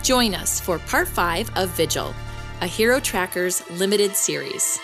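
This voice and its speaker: A woman's voice: on the low side, lively intonation, a little slow. An actress playing a female podcast host who gives scripted, documentary-style narration about superheroes. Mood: measured, informative. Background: music.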